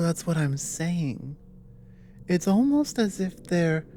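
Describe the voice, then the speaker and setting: A woman playing a weary, earnest dragon ally with magic in an ASMR audio roleplay, speaking in a measured, halting way. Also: low voice, mellow, slight background noise. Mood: grave, urgent.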